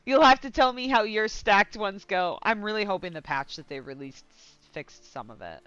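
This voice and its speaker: A woman doing loose, casual live commentary on a game. Her voice is mid-pitched and bright, and she rambles informally into a close, very dry microphone. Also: animated, moderate pace, smooth, dry recording, highs cut off. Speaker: game streamer.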